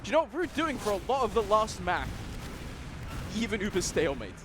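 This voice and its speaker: A man calls a live esports match, his voice sitting a little high. He jokes and rambles, restarting his sentences. A clear hiss of noise runs under the stream audio. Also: sing-song, fast. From Denmark. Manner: joking, rambling banter with frequent restarts. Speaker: esports caster.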